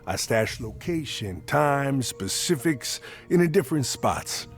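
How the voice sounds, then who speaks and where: Earnest, animated jazz musician. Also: male voice, medium pitch, unhurried, faint music behind. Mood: hesitant, busy. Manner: hesitant, folksy, mild exclamations. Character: earnest, chipper male jazz musician and party organizer. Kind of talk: voiced dialogue in a narrative RPG.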